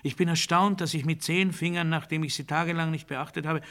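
Ein Schauspieler, der in einem Hörspielmonolog einen fanatischen militaristischen Redner spielt, pompös und nachdrücklich deklamierend. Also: male voice, fairly high pitch, fairly even intonation, fairly quick. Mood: controlled, measured. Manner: pompous, emphatic, swinging from hushed to strident. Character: fanatical militaristic male orator declaiming rigid dogma. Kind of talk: German radio play monologue, oratorical declamation.

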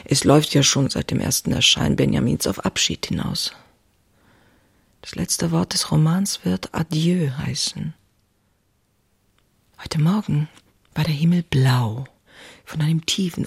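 An actress playing a passionate, anxious woman writer in her thirties, reading her diary in an intimate, confessional way with a husky, breathy voice. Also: deep voice, lively intonation, a little slow, long pauses, no room sound, clean studio sound, exaggerated voice. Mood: intense, wistful. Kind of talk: dramatic literary diary reading.

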